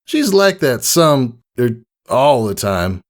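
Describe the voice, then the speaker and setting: Brash pony mare acted by a man, pitched high. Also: very animated, slow pace. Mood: casual, chatty. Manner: casual, boastful, slangy. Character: brash, cocky young female pegasus pony, upbeat and casual. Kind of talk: character voice in fan fiction reading.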